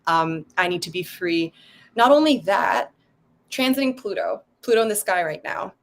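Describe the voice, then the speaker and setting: A woman's voice: fairly low pitch, lively intonation, normal speed. A woman from Malaysia, a guest on a casual podcast over a video call, explaining things step by step and listing terms one by one. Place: home in a city, sirens outside.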